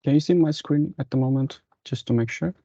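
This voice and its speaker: A man's voice: medium pitch, fairly quick, mellow, no echo. A young man from Indonesia with an Indonesian accent, giving a formal academic presentation over a lo-fi video call. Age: young adult. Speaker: master's student presenter. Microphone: computer on a video call. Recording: dull lo-fi online call.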